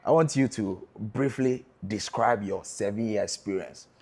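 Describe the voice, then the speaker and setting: A man's voice: lowish voice, unhurried, a little mellow. A man hosting a street-interview show, introducing it and asking questions with emphatic, repeated phrasing. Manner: emphatic, repeats lines for effect. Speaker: host and interviewer.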